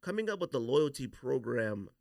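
A man hosting a podcast, talking casually with slangy, opinionated, animated delivery. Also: mid-range voice, moderate pace, gravelly, no echo, studio quality. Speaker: podcast host. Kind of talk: casual sneaker podcast debate.

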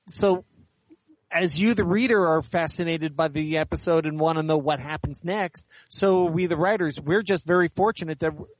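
A man's voice: on the high side, a little hiss or hum, poor audio. A middle-aged or older man answering interview questions in a candid, articulate, explanatory way. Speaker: interview guest, science fiction author and editor.

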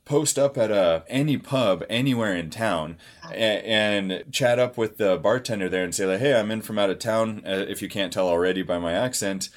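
A man hosting a travel chat, relaxed and conversational, asking his guests leading questions. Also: lowish voice, moderate pace. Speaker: podcast host.

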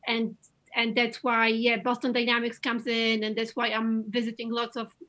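A woman's voice: a little high, completely flat delivery, normal speed, fairly bright tone. Gushing Polish-accented woman painter. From Poland. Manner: enthusiastic, gushing, many restarts and fillers. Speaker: painter, interview guest. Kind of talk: casual interview chat.

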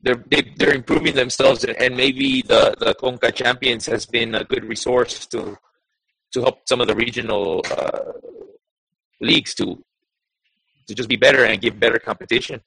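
A man co-hosting a football podcast, talking in a halting way with many restarts. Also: mid-range voice, steady tone, gravelly, sharp tone, husky, no echo, rough recording. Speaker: podcast co-host. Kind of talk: casual sports chat.

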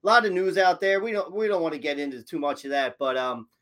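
Host, male, laid-back interviewer, slightly high voice, lots of ums. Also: fast. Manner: relaxed, filler-heavy questioning. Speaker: podcast host. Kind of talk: casual interview chat.

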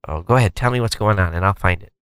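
A man's voice: low-pitched, rapid-fire, smooth, dry recording, studio quality. A man co-hosting a podcast in casual, rambling chat, firing off eager questions. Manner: chatty, rambling, animated questions. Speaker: podcast co-host.

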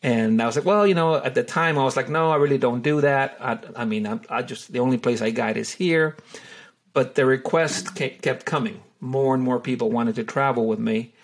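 A middle-aged man from Honduras talks through his answers with a gravelly, creaky texture. He hesitates often and fills pauses with "uh" and "you know", restarting his sentences as he goes. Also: medium pitch. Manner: hesitant, many fillers and restarts. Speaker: wildlife photographer and tour guide. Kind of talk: relaxed interview answers.

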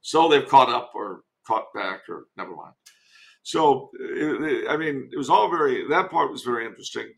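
A man's voice: mid-range voice, lively intonation, moderate pace, gravelly, rough recording. A man giving casual historical commentary as a podcast guest, digressive and given to wry asides.

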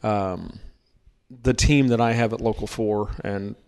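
A man raised in Oklahoma co-hosting a relaxed podcast. He talks in a loose, rambling way, full of fillers and restarts, like a boss chatting with his team. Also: fairly low pitch, unhurried, smooth. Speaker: podcast co-host, news manager. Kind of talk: casual chat.

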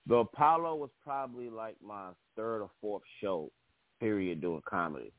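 A man's voice: on the low side, expressive, normal speed, no echo. A young man from the Bronx, a guest comedian, telling stories in a laid-back, casual way. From the Bronx, New York. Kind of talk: casual interview chat. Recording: tinny phone line, dry.

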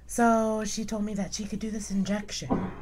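A woman speaking in a low, weak voice as a guest in a casual chat, explaining things matter-of-factly and running out of breath quickly. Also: level tone, noisy background. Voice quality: weak, low voice from a paralyzed vocal cord. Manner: plain, matter-of-fact explaining.

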